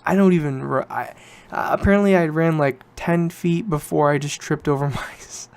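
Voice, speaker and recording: A man's voice: on the high side, moderate pace, gravelly, darkish tone, clean tone. A man in his late teens chatting casually as a solo podcast host, informal and rambling, often correcting himself.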